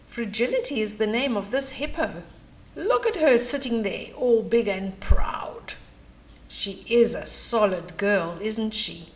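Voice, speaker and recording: High-voiced, slow, animated woman storyteller. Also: low-quality recording. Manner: chatty asides and questions to young listeners. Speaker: children's storyteller. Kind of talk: animated bedtime story read-aloud.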